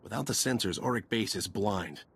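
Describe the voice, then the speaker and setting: A gloomy soldier in a video game. The voice is low and very husky, with a dry, serious, dutiful manner. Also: male voice, expressive, normal speed, fairly bright tone, full character voice. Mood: grim, dutiful. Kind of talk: scripted video game cutscene acting.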